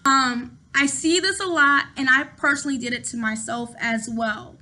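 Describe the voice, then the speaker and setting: A woman's voice: sits high, moderate pace, sharp tone. A woman in her thirties talking casually to camera at home, chatty and rambling, often repeating her words. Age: 30s. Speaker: vlogger.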